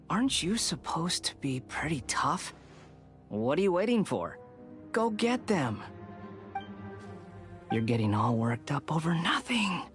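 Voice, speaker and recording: A man's voice: fairly high pitch, fairly bright tone. An actor playing a smug young male villain in a video game, mocking, theatrical and condescending. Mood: dismissive, taunting. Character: smug, sneering young male villain, a high-ranking harbinger. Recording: music behind.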